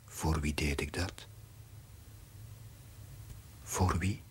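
A low-voiced, brooding, breathy priest. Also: male voice, fairly even intonation. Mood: bitter doubt. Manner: brooding, halting, confessional. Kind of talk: 1970s television drama monologue. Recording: noisy old TV soundtrack.

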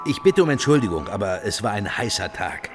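An actor playing a courteous, weary and cautious head of government in a radio drama, with a measured, deferential manner. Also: male voice, fairly low pitch, very animated. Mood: polite, cautious. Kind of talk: German radio drama acting. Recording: clear music behind the voice.

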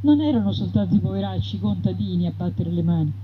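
A woman's voice: medium pitch, expressive, fast, close to an everyday voice. A woman voicing a plainspoken, bitter peasant woman bandit, telling her story in an earthy, emphatic way. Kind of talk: theatrical first-person storytelling. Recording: dull radio sound with background noise.

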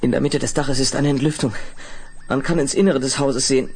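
A man's voice: mid-range voice, steady tone, fairly quick, a little mellow, breathy edge. An actor playing a brave, sensitive young man, a space adventurer's son, earnest and dramatic in a radio play. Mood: horrified, determined. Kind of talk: 1970s German radio play.

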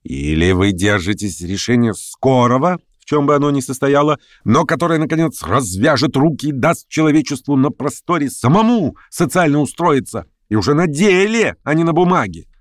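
A man voicing a domineering, impatient political agitator in an audiobook, with sharp, goading and mocking oratory. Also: mid-range voice, sing-song, normal speed, few pauses, no room sound, studio quality, voice pushed up, lightly acted. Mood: fervent, goading. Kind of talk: acted audiobook dialogue.

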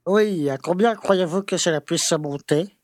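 Man playing a pompous mayor, highish, dry sound. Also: moderate pace, no room sound, lightly acted. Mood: sceptical, pompous. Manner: pompous, lecturing. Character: pompous conservative small-town mayor, scolding, grumbling, sceptical. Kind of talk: French audio drama acting.

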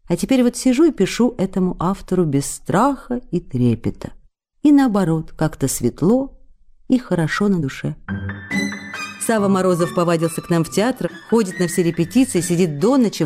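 A woman's voice: on the low side, warm tone, close to an everyday voice. An actress playing an emotional, affectionate young stage actress who reads her candid letters expressively and intimately in a radio drama.

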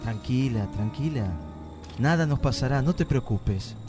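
A man's voice: medium pitch, animated, a little slow, natural voice. Man acting young suitor, Argentine accent, soothing, radio drama, faint music. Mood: reassuring. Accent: Argentine Spanish. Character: young male suitor, eager and reassuring.

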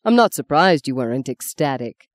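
A woman reading a story, voicing a gentle, devout young man who speaks softly, sadly and steadily. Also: extremely low pitch, moderate pace, dry recording. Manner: soft, sad, steady.

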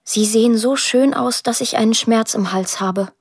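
A young woman tells her story introspectively in a radio play. Her voice is a little husky and very close and dry. She speaks plainly and thoughtfully, in a measured way. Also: a little high, dry recording. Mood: wistful, tense. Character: pensive young woman narrating her estranged family. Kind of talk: introspective radio drama narration.

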